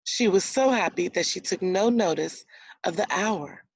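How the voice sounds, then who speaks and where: A woman's voice: on the low side, unhurried, breathy edge, low-quality recording. A woman teacher reading a storybook aloud to young children, explaining words and asking guiding questions as she goes. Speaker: elementary school teacher.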